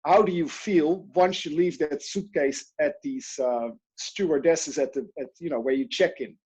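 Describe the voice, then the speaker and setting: A man's voice: on the high side, normal speed, husky. A man from the Netherlands with a Dutch accent, a coach talking in an online interview and acting out inner voices as he tells stories. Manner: animated, storytelling with quoted inner voices. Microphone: video call.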